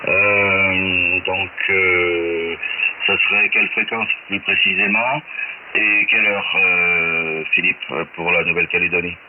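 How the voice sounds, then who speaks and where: A man's voice: low voice, level tone, slow. A man chatting casually as an amateur radio operator over a shortwave transmission. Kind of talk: casual ham radio chat. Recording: narrow-band shortwave radio, clear hiss.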